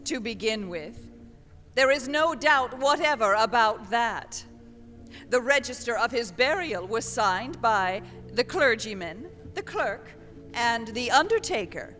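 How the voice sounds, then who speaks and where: A woman giving dramatic scripted narration for a radio play, with deliberate, theatrical phrasing. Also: fairly high pitch, animated, fairly bright tone, soft background music. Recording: live hall with long reverb.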